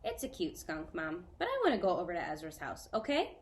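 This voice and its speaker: A woman's voice: on the low side, wildly swooping pitch, fairly quick. A woman voicing a chatty, breezy older sister in a children's story.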